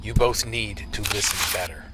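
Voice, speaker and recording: An actor playing an insecure, controlling retreat leader, self-pitying and suspicious, in a game drama. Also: male voice, on the low side, moderate pace, heavy background noise, natural voice. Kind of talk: naturalistic video game drama acting. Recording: music behind the voice. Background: music.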